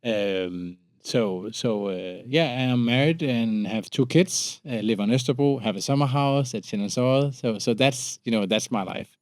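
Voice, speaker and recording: Male, 37, Danish accent, podcast guest. Average pitch, casual halting delivery with repeats, very dry sound. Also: no room sound. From Denmark. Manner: informal, halting with repeated words. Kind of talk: casual conversational interview.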